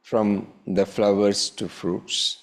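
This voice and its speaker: Very slow, low-voiced male meditation guide. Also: level tone. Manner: measured, with long pauses between short phrases. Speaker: meditation teacher.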